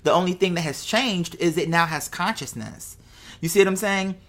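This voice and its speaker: A woman with a fairly low voice talks off the cuff as a show host. She muses conversationally, posing rhetorical questions and checking that the listener follows along. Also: moderate pace. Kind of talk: casual unscripted monologue to followers.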